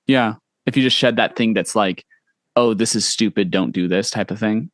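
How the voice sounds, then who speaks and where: A young man hosting a podcast interview, talking in a relaxed, casual way, full of "like" and "you know", quick to agree and encourage. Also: fairly low pitch, no echo, clean studio sound. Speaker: podcast host.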